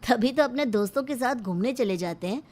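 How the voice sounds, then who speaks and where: A woman's voice: fairly high pitch, animated, brisk, a little mellow. A woman voicing an aggrieved, resentful younger brother in a storybook drama, complaining and defiant. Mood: resentful, angry. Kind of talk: acted storybook dialogue.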